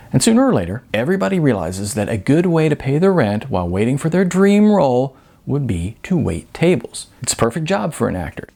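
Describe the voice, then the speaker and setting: A man's voice: mid-range voice, vocal fry, warm tone, voice pushed up. A man voicing a blunt, world-weary restaurant manager in an audiobook, explaining things frankly and emphatically.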